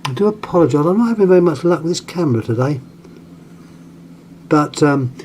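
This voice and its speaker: A British man giving a casual hands-on demonstration, speaking haltingly and pausing mid-phrase as he handles things. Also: a little high, animated, darkish tone, slight background noise. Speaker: vintage radio collector presenting an item. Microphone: handheld camera, close to the item.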